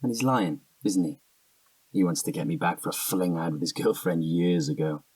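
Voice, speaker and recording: Evasive young British guy, low husky voice, defensive, hesitant, audio drama, slightly off-mic. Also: moderate pace, darkish tone, no echo, far from the mic, poor audio. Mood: defensive, irritated. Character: evasive, defensive young man under questioning. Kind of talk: naturalistic audio drama dialogue.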